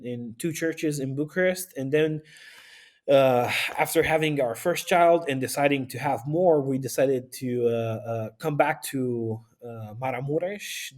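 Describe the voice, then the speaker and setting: Halting Romanian-accented man in conversation. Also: medium pitch, darkish tone. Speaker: interview guest, youth pastor and aid volunteer. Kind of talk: casual conversational interview.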